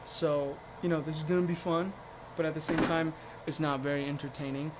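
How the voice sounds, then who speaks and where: A man with a slightly high voice roughened into a raspy, low rasp by allergies talks loosely to his viewers. He wanders through fillers and restarts as he demonstrates a casting process. A faint background noise sits under the voice. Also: level tone, a little fast. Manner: rambling, with many fillers and restarts. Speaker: sculptor demonstrating mold casting. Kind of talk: casual livestream talk to viewers.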